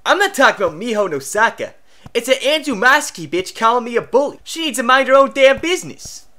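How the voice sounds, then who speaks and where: A woman's voice: a little high, sing-song, fast talker, pushed and bright. A woman playing a brash, hot-tempered teenage schoolboy bully in an anime fan dub, with a cocky, sneering, slangy delivery. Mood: annoyed. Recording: background music under dialogue.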